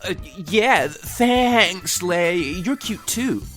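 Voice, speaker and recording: Guy doing an excitable young space hero, high squeaky voice that cracks, stammers and yelps, parody fanfic reading. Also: very slow, soft background music, full character voice. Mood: nervous, awkward. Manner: stammering, exclamatory. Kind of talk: comedic fan fiction dramatic reading.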